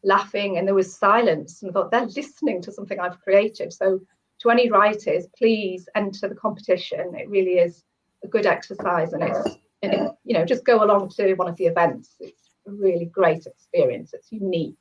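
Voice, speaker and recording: A woman whose voice is husky and croaky from a cold. She talks in a chatty way, with small laughs and restarts, and reads her story aloud. The sound is dull and lo-fi, like a video call. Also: mid-range voice, brisk. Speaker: short story author and guest. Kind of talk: casual chat, then reading her story aloud.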